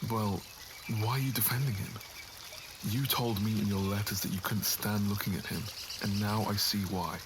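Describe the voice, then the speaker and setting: Casting: man voicing a lowish, formal young noble, earnest and heartsick, clear water ambience behind. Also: lightly acted. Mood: protective, upset. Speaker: voice actor in an audio roleplay. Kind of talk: ASMR roleplay acting. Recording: audible water and outdoor ambience noise.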